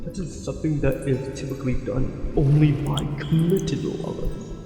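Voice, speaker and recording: A man voicing a tender, possessive merman for an ASMR romance roleplay, speaking formally and haltingly with a breathy delivery. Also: fairly high pitch, warm tone. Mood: flustered. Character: lovesick possessive angler merman, courtly and tender. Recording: very echoey, long reverb, music behind.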